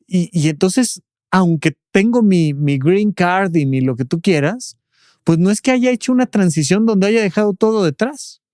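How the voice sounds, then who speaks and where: A man talks as a doctor on a podcast, explaining patiently and often closing a point with a questioning tag. His voice sits a bit high, and the studio sound is dry and close, with no room around it. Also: animated, moderate pace, a little mellow, dry recording. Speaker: doctor and expert guest.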